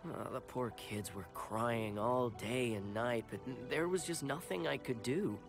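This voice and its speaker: An actor voicing a humble, grateful fisherman villager in a video game, speaking in an earnest, halting way. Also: mid-range voice, natural voice. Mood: earnest, weary. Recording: game music behind the voice.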